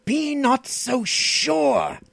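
A man's voice: very high voice, huge pitch swings, extremely slow, creaky, fairly bright tone, dry recording, voice pushed up, full character voice. A man voicing a proud, bitter, enslaved sorcerer in a fantasy audiobook, growling and grandiloquent. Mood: vengeful fury. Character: bitter, enslaved male Stygian sorcerer, broad-shouldered, proud, menacing. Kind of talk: dramatic fantasy audiobook dialogue.

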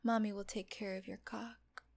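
A woman voicing a teasing, doting female nurse in an intimate audio roleplay, talking softly and often in a whisper. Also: medium pitch, level tone, normal speed, creaky. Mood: teasing, coaxing.

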